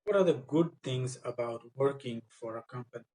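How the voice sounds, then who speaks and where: A man's voice: mid-range voice, normal speed, a little mellow, poor audio. A man working as a spoken English tutor, coaching in a mock speaking test with a blunt, insistent, corrective manner.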